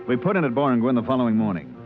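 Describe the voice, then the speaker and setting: An actor playing an affable, plain-spoken American ship's purser in a 1950s radio drama. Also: male voice, mid-range voice, very animated, rapid-fire, narrow, tinny audio, music in the background, close to an everyday voice. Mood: narrating. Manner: folksy, modest, hesitant. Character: affable, plain-spoken, trusting male spaceship purser narrating. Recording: thin, band-limited old radio recording.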